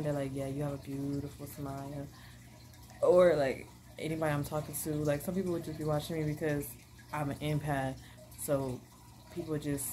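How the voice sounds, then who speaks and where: A young woman talks to her camera at home in a low, relaxed register, bubbly and chatty, wandering through her thoughts with plenty of fillers. A steady hiss of background noise sits under the voice. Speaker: vlogger. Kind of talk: casual chat to camera. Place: small living room at home.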